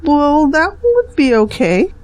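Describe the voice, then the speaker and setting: Loving, devout mom, middle-aged, high mellow voice, unhurried delivery, audio drama. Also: female voice, sing-song, heavily acted. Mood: loving, content.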